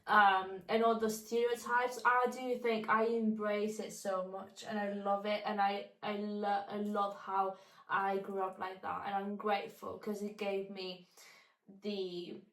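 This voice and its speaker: A woman's voice: medium pitch, level tone, unhurried, a bit bright. A young woman chatting as a guest on a homemade podcast, taped in a bare, echoing room a step back from the mic. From southern Italy. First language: Italian. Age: young adult. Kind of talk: casual conversational interview. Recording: echoey room, distant microphone.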